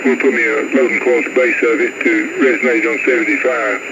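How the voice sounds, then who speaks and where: A man's voice: mid-range voice, level tone, fast, vocal fry. A man chatting casually as a ham radio operator over shortwave radio. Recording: muffled shortwave radio, very loud static.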